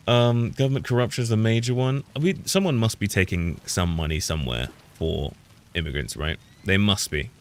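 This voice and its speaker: A man's voice: on the low side, moderate pace. A British man streaming a game, rambling opinionated commentary that keeps trailing off and restarting. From United Kingdom. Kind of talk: casual gameplay commentary.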